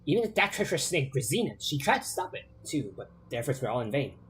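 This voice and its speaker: A man's voice: medium pitch, sing-song, fairly bright tone, a little hiss or hum. A man reading as a vengeful bunker spirit. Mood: grandiose. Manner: theatrical, slow and declamatory. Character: grandiose spirit guarding a ruined bunker, vengeful.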